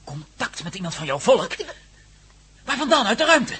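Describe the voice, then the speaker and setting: A man's voice: high voice, sing-song, normal speed, bright, forceful tone, breathy edge, faint music behind, exaggerated voice. An actor playing a brash, impatient young man in a radio play, blunt and informal. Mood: startled. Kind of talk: Dutch radio play acting.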